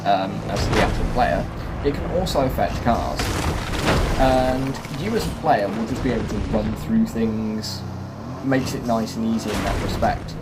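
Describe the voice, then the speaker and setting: A man's voice: on the low side, moderate pace. A man hosting a gaming video with casual gameplay commentary. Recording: voice-over with music and game vehicle sounds. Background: clear music and game engine noise.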